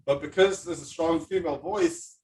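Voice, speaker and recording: Man, fairly high voice, podcast host, casual, many ums, effusive, slightly distant mic. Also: fairly even intonation, normal speed. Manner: warm, effusive, hesitant with many fillers.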